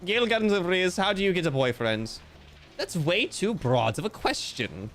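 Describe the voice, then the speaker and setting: A man's voice: high voice, sing-song, moderate pace, fairly bright tone, slight background noise. A man streaming live, reading out viewer comments in a playful, theatrical way with mock-grandiose joking. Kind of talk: casual livestream chat reading viewer comments.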